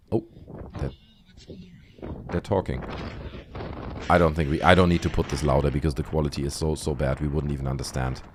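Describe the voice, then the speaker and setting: A man's voice: rumbling low, darkish tone, a little hiss or hum. A man hosting an online video, giving casual, conversational commentary on clips with frequent tag questions. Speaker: YouTube host.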